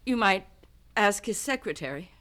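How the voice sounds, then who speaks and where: A woman's voice: mid-range voice, animated, moderate pace, sharp tone. An actress playing a guarded, composed woman whose husband was just killed, answering with hesitant, careful words in a 1970s radio drama. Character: composed widowed wife, guarded and cool.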